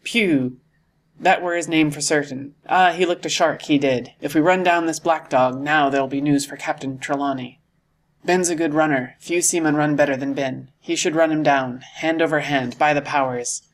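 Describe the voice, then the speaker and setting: A man voicing a cheerful but cunning one-legged sailor and tavern landlord in a storybook, with hearty, forceful, salty nautical talk. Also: fairly high pitch, steady tone, normal speed, few pauses, lightly acted. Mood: confiding, excited.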